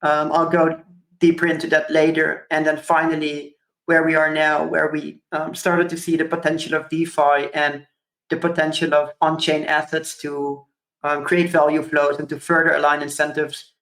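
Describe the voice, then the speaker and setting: A man's voice: a little high, monotone, moderate pace, fairly bright tone. Young man from Curaçao presenting to a conference, thoughtful and filler-heavy, echoey room. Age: 20s. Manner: thoughtful, many ums and kind-ofs. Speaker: conference speaker. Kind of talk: presentation talk. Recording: roomy echo.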